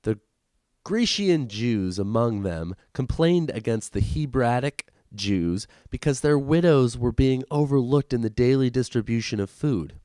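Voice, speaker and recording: A man's voice: medium pitch, animated, measured pace, warm tone, no echo, clean studio sound. A man hosting a comedic show, reading aloud in an amateur, casual and irreverent way. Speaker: host of a comedic reading podcast.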